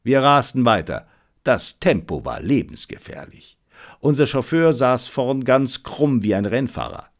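Slow, expressive male audiobook narrator. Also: mid-range voice. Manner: measured, expressive storytelling. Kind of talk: scripted dramatic narration of a detective novel.

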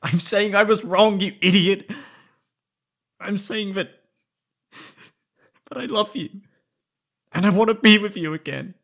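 A young man playing an anguished, lovesick former captive in an intimate roleplay audio, halting and pleading. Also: high voice, vocal fry, a bit bright, voice pushed up, exaggerated voice. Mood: pleading, confessing. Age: young adult.

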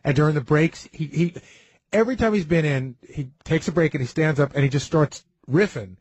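A man's voice: a little high, a little fast, darkish tone, slightly rough, no echo. A man talking casually and enthusiastically in a recap of a radio show.

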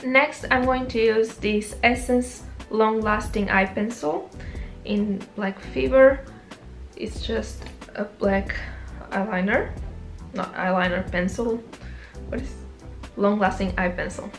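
A woman's voice: mid-range voice, fairly even intonation, takes it slowly, soft background music. A young woman with a Portuguese accent, chatting through a makeup tutorial, often correcting herself. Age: young adult. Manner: chatty, self-correcting, rambling asides. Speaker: beauty vlogger. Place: small bedroom, indoors. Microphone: camera close up.

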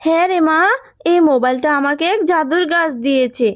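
A woman's voice: high-pitched, fairly quick, dry recording, studio quality, close to an everyday voice. A woman voicing a caring, anxious mother fox in a cartoon, talking tenderly and expressively. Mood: eager, tender.

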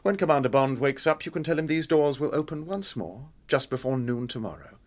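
An actor playing a smug, menacing industrialist villain in a radio drama, gloating and formal. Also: male voice, mid-range voice, brisk, natural voice. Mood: gloating menace.

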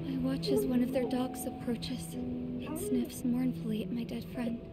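A young princess from a video game drama, worn down by grief. Her voice is fairly high and hushed, often fading to a breathy whisper as she narrates solemnly. Loud music plays behind her. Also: steady tone, measured pace. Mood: numb grief. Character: grief-stricken young princess, numb and weary.

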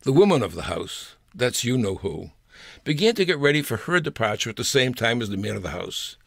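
A man's voice: mid-range voice, very animated, brisk, creaky, a bit bright, no echo, studio quality. An older man reading his own humorous personal story aloud in a wry, storytelling manner. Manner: wry storyteller with playful voices.

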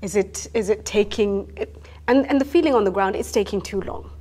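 A woman in her thirties hosting a studio interview, asking quick, conversational questions on a lapel mic. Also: medium pitch, a little mellow. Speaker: talk show host. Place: indoor studio set, seated in wicker chair.

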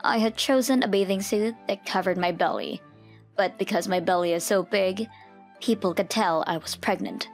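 A woman narrates a story in the first person from a script. Her pitch is middling and her manner earnest. Soft music runs underneath. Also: moderate pace.

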